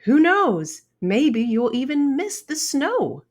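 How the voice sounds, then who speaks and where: A kindly old stag beetle from a children's storybook, acted out by a woman. He is grandfatherly and reassuring. His speech is unhurried and swoops with expression. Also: sits high, sing-song, pitched above the performer's own voice, exaggerated voice. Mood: gentle, reassuring. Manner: gentle, soft-spoken, grandfatherly. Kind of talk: children's storybook read-aloud.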